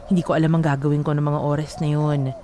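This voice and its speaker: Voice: mid-range voice, little pitch movement, fast talker, mellow, a little hiss or hum. A narrator reading a listener's love-story letter aloud in earnest, emotional storytelling on a radio drama program.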